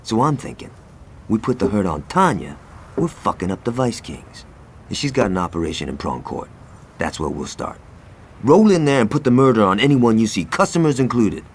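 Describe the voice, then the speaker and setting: An actor playing a young, foul-mouthed American street gang lieutenant in a game cutscene, swaggering and wry. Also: male voice, fairly low pitch, sing-song, moderate pace, a little hiss or hum. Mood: cocky planning. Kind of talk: video game cutscene acting.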